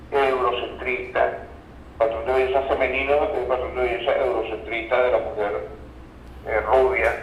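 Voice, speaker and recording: A man explaining ideas as an academic interview guest, halting and repeating words as he searches for phrases. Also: mid-range voice, a bit bright. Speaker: interview guest, university professor and researcher. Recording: roomy, distant, dull sound with faint noise.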